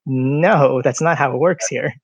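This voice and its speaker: A man from the United States, a podcast guest telling stories in a relaxed way, with lots of "like" fillers. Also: medium pitch, a little slow, slightly rough, dry recording. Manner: relaxed storyteller, many "like" fillers. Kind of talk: casual conversation. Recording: dry, dull lo-fi audio.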